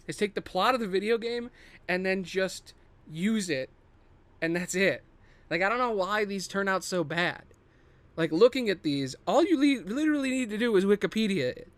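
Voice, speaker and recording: A man's voice: high-pitched, a bit bright, no room sound. A man hosting a podcast in a casual, improvised solo monologue, rambling and thinking aloud with casual swearing. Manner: casually profane. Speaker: podcast host.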